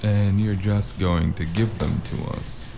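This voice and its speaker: Man playing very deep gravelly noir drifter. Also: fairly even intonation, slow, heavily acted. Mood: wry doubt. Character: gruff, hapless cyberpunk noir drifter, wry and low. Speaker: voice actor and first-person narrator. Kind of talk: noir audio drama acting and narration. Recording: clearly noisy with ambient sound behind.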